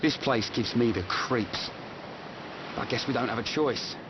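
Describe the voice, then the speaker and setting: A man's voice: mid-range voice, a little slow, lightly acted. A man playing a blunt, grumbling adventurer in a video game, speaking in a colloquial way. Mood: uneasy. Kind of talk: video game cutscene acting. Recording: muffled game audio, noisy ambience behind.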